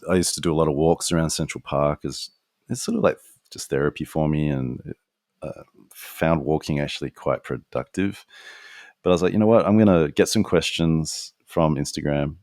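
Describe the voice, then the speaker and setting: A man with a deep voice, hosting a podcast, talking reflectively and critiquing himself, with frequent restarts. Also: a little mellow, no echo, clean studio sound. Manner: reflective, self-critical, quick asides and restarts. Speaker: podcast host. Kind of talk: conversational interview answers. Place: apartment in New York.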